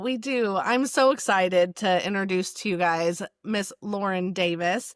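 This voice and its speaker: A woman's voice: lowish voice, fairly bright tone, no echo, clean studio sound. A woman co-hosting a podcast, introducing a guest with enthusiastic, upbeat chat. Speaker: podcast co-host.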